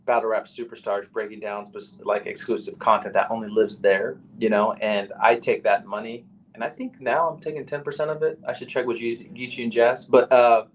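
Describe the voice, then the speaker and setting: A man's voice: on the low side, steady tone, moderate pace, hardly any pauses, a little hiss or hum. A man with a nasal voice, a battle rapper talking casually as a podcast guest, full of "you know" and "like".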